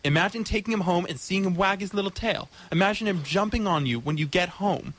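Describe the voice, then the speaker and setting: A man's voice: high-pitched, a little fast, a bit bright. A man playing a shady, relentless street peddler with slick, wheedling sales patter in a radio comedy sketch. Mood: coaxing.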